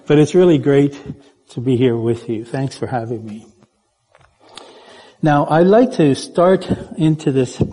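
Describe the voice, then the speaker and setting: A guest preacher born in Puerto Rico talks to the congregation in a playful, conversational way, often stopping to ask them questions. His voice is slightly husky and mellow, sitting a bit high for a man. Long reverb from the church hall hangs behind him. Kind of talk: sermon.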